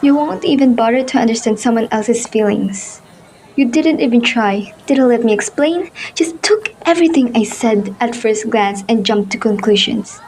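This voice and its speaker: A woman's voice: fairly high pitch, normal speed, a little mellow, a little hiss or hum, natural voice. A woman acting an indignant, easily hurt young fairy in an amateur roleplay drama, with an emphatic, dramatic delivery. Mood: hurt, angry.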